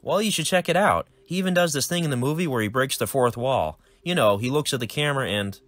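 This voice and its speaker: A man puts on a squeaky, excitable teenage boy, nerdy and eager, firing off high questions. The recording is close and dry, with no room sound. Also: fast, dry recording, lightly acted. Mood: cheerful. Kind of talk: homemade comedy skit.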